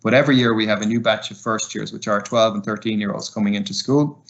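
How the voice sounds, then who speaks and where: A man's voice: on the low side, fairly even intonation, fast talker. A middle-aged man giving a conversational webinar presentation as a community liaison, often ending points with "right?" and sprinkling in fillers. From Ireland. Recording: muffled lo-fi webinar audio.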